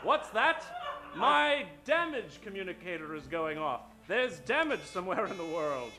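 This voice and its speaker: High, animated pulp adventure hero. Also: male voice, unhurried, over music, exaggerated voice. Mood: explaining, confident. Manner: melodramatic, earnest. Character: earnest, heroic male pulp adventurer, protective guardian. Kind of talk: live old-time radio comedy acting.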